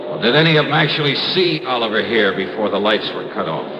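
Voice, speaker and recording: An actor playing a shrewd, laconic American investigator in a 1950s radio drama, probing and dry. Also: male voice, lowish voice, expressive, quick pace, background noise, lightly acted. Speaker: lead actor in a detective radio serial. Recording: muffled vintage radio recording, music underneath.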